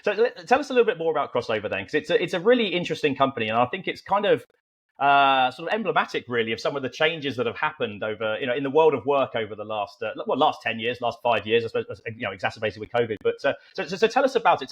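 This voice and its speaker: A man's voice: mid-range voice, animated, racing, fairly bright tone. A man hosting a podcast, who introduces and questions his guest with many fillers and repeated words. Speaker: podcast host. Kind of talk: hosting an interview. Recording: dull lo-fi audio.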